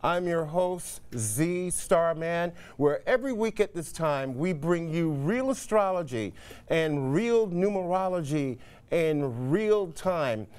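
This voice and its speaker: A man's voice: on the high side, lively intonation, takes it slowly, a little mellow, clean tone. A man hosting a live call-in show, presenting and interviewing in an emphatic, showman-like way with repeated phrases. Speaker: TV host.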